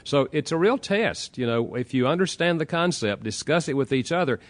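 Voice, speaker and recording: A man with a Southern American accent, an author answering interview questions in a calm, explanatory way and walking through examples. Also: a little high, normal speed. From Southern United States.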